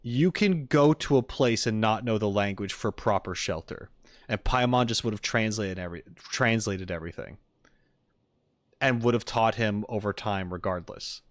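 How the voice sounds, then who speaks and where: A man's voice: on the low side, normal speed, no echo. A man doing casual livestream commentary as he reacts to a game, opinionated and emphatic, in an argumentative way. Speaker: streamer reacting to game voice lines.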